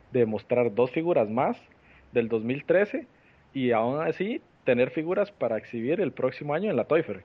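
A man from Costa Rica hosting a casual podcast chat, relaxed and thinking aloud with restarts, heard over an online call. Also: mid-range voice. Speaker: podcast host. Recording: tinny phone-like call audio.

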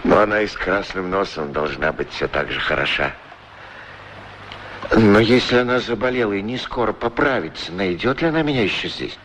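Casting: middle-aged lovesick shoeshiner, grumbly but tender, very expressive intonation, old radio drama with slight hiss. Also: male voice, on the low side, moderate pace. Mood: wistful, worried. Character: lonely, fretful, lovesick middle-aged shoeshine man, proudly polite. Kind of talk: radio play acting. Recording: noisy 1960s radio recording.

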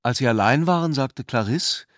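A man's voice: mid-range voice, expressive, moderate pace, fairly bright tone, no echo, studio quality. A man narrating a literary radio drama from a script.

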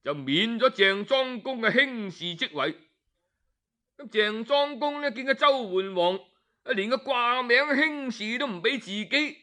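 A man with a Cantonese accent telling a historical tale colloquially, with drawn-out particles and interjections. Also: high voice, bright, forceful tone. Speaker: storyteller. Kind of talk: lively traditional oral storytelling of historical tales.

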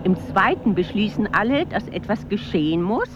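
Actress as animated, declamatory political gossip. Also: female voice, mid-range voice, normal speed, lo-fi sound, noisy background, close to an everyday voice. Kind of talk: literary radio drama acting.

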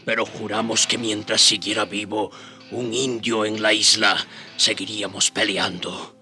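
Radio drama: brave native rebel leader and narrator, very sharp forceful voice, earnest, music beneath. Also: male voice, fairly low pitch, little pitch movement, a little slow, heavily acted. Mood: solemn, resolute.

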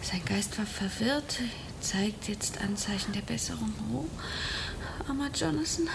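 An actress in a German radio drama playing a devoted, anxious young fiancée with an earnest, emotional delivery. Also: female voice, medium pitch, animated, unhurried, gravelly, background noise. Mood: agitated, relieved. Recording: music behind the voice.

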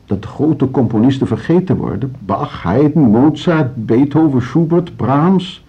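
An actor playing an earnest, indignant lover of classical music in a radio drama, halting yet emphatic. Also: male voice, mid-range voice, animated, breathy edge. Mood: indignant, pleading. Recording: dull radio play recording.

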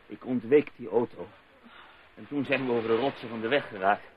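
A dull, faintly noisy old radio play recording with ambience behind a brisk, commanding man played by an actor. He has a medium-pitched voice and the short-fused temper of someone used to taking charge. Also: lightly acted. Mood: concerned, shaken. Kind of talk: radio drama acting.

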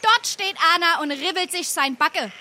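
An actress playing a feisty, sharp-tongued young sweetheart who scolds in Darmstadt Hessian dialect comedy. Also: female voice, extremely high pitch, animated, normal speed, slight background noise, full character voice. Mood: scornful. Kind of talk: dialect stage comedy acting.

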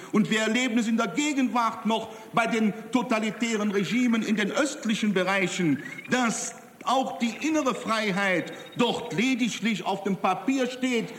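A man's voice: sits high, normal speed, a bit bright. A male politician giving a formal parliamentary speech in long, emphatic rhetorical sentences. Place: large assembly hall. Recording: echoey hall, noisy archival recording.

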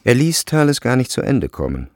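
A man narrating a literary radio play in a measured, precise manner, with a creaky voice. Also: mid-range voice, very animated. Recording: studio voice with music behind. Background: music.

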